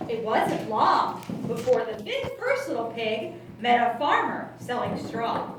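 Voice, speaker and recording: A woman's voice: fairly high pitch, sing-song, a little slow. A woman narrating a scripted children's story as the storyteller of a puppet show. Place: library room. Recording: distant, very echoey room, faint noise.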